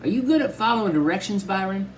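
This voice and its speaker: A man's voice: high-pitched, expressive, fast, slight background noise, pitched above the performer's own voice, rough recording. A man reading aloud and voicing a tiny, doting but bossy old Southern grandmother in a folksy drawl.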